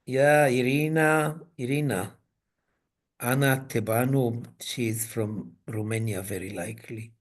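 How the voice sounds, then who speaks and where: Slow-speaking man coaching on a video call. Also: mid-range voice, fairly even intonation. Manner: slow, halting, non-native phrasing. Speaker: coach leading a webinar. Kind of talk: online webinar demonstration.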